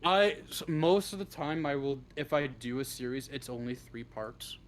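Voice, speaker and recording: A man chatting casually as an interview guest, halting and jokey, often laughing. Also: medium pitch, expressive. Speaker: interview guest, script writer. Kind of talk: casual chat. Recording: faint background noise on live stream.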